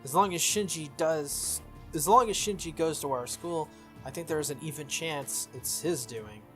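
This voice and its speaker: A man's voice: fairly high pitch, moderate pace, lightly acted. A man reading the lines of an earnest, good-natured teenage schoolboy from a visual novel. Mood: earnest, explaining. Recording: music behind the voice.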